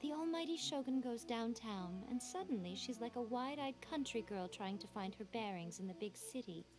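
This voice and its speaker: A woman's voice: on the high side, animated, moderate pace, natural voice. A woman voicing a sly, playful female shrine priestess in a game, amused and teasing. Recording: game audio with clear music.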